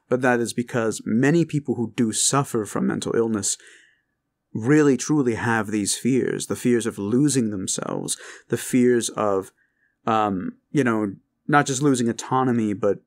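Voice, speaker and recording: A man speaking informally and earnestly to his listeners as an audio creator, in a reassuring way. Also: medium pitch. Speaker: audio roleplay creator and host. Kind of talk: informal heartfelt address to listeners.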